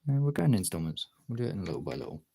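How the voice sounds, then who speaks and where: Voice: sits low, big pitch swings, racing, vocal fry, background noise. A streamer chatting casually while demonstrating drawing, talking in an informal, sweary way. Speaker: art streamer.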